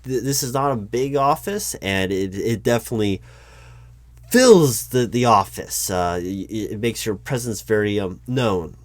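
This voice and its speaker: A man's voice: on the low side, lively intonation, no room sound. A man playing a recruiting manager in a one-sided job interview roleplay, polite and awkward, stumbling through ums and restarts. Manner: hesitant, halting, full of ums and restarts; polite, awkward, stiffly corporate.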